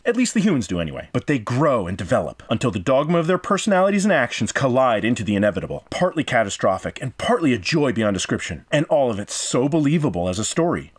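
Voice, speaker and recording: Guy doing a scripted game review, really dramatic and emphatic, voice goes up and down a lot. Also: medium pitch. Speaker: video game reviewer, host.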